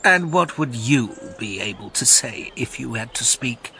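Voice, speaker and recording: A man's voice: medium pitch, expressive, a little slow, creaky, pushed and bright, slight background noise, exaggerated voice. A man voicing Menexenus, an earnest, deferential young Athenian, in a dramatized audiobook. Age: young adult.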